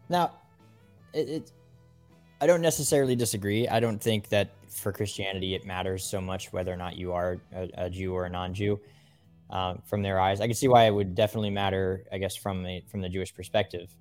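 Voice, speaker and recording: A man with a fairly low voice chats easily as he co-hosts a relaxed discussion podcast. Faint music runs softly behind him. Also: a little fast. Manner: easygoing, conversational. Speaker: podcast co-host. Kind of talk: casual religious discussion.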